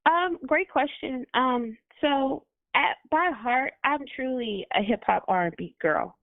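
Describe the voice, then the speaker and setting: A woman's voice: high-pitched, slow pace, dry recording. A woman musician answering interview questions in a chatty, apologetic way, with frequent laughs. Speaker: guest musician. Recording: tinny phone or call line.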